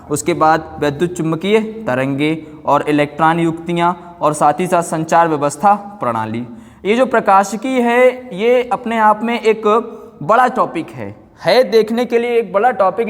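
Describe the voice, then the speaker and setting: A man speaks as a teacher walking students through exam material. His voice sits high for a man, and he explains step by step with repeated check-words and English terms mixed in. The sound carries a long, hall-like reverb. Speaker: physics teacher. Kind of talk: online exam-prep class talk.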